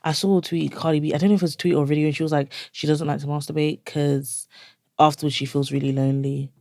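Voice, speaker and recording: A woman's voice: low-pitched, fast talker, no room sound, clean studio sound. A young woman in her twenties, co-hosting a podcast in casual chat. She talks bluntly and animatedly and fills her speech with "like". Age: 20s. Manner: frank, blunt and animated, says "like" a lot. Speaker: podcast co-host.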